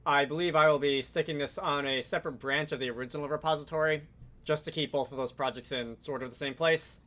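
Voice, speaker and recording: A man's voice: on the high side, steady tone, quick pace, a bit bright. A man hosting a casual game development tutorial, explaining off the cuff, hesitating and correcting himself as he thinks aloud. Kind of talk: casual screen-recorded software tutorial, improvised explanation.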